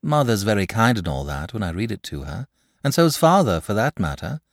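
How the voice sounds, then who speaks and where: A man's voice: lowish voice, sing-song, fairly quick, no echo, studio quality. A man voicing a frank, sensible young boy in a storybook, speaking politely and earnestly. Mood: confiding. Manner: matter-of-fact, polite, earnest.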